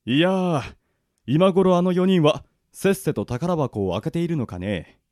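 A man's voice: fairly high pitch, animated, a little slow, a little mellow, no echo, voice pushed down. A man voicing a mischievous older male king who plays pranks, speaking in a leisurely, self-satisfied way. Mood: smug.

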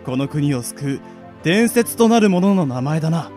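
A man's voice: a little high, lively intonation, moderate pace, dark tone, faint music behind, close to an everyday voice. A man in an amateur radio drama playing a benevolent king with grand, archaic royal speech. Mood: gracious. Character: stately, benevolent fantasy king.

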